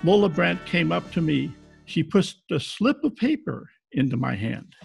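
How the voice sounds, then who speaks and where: An actor playing a wry, deadpan private detective who narrates in 1940s radio style with a gravelly voice. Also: male voice, fairly high pitch, a little slow, soft background music, full character voice. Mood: wry narration. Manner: deadpan, wisecracking. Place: home, recorded over video call.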